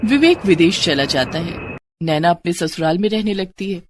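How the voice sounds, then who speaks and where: A woman's voice: lowish voice, normal speed, a little hiss or hum. A woman narrator reading a scripted moral story in a storytelling style.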